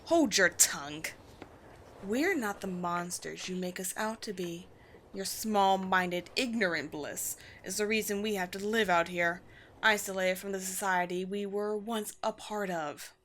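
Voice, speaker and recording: Girl doing a snooty vampire queen for an audio roleplay, bossy and theatrical, mid pitch, bit of noise behind her. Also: fairly bright tone, lightly acted. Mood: indignant. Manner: imperious, theatrical, deliberate phrasing. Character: haughty, commanding female vampire queen, disdainful of humans.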